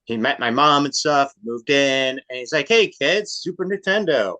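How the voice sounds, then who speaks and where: A man's voice: medium pitch, moderate pace, bright, forceful tone, no room sound. A man co-hosting a gaming podcast in casual chat, laid-back and laughing often. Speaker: podcast co-host.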